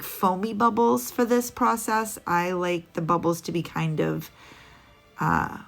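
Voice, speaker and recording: Slow, gravelly-voiced woman chatting about nails. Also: lowish voice. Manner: chatty, rambling, with frequent ums and little laughs. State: a little sniffly. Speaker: nail art vlogger. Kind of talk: casual tutorial voice-over.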